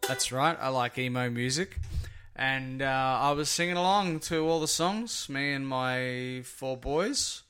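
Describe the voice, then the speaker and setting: A man with a hoarse, raspy voice hosting a casual podcast from a small home studio, rambling and mocking himself. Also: medium pitch, unhurried, few pauses, bright, forceful tone, faint music behind. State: hoarse from singing. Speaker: podcast host.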